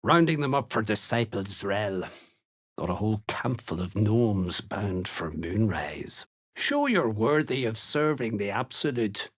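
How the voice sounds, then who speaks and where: An actor playing a gruff, menacing cult slaver in a video game, with a rough voice and a curt, contemptuous manner. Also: male voice, fairly low pitch, expressive, measured pace. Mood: gruff, businesslike. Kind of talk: video game character dialogue.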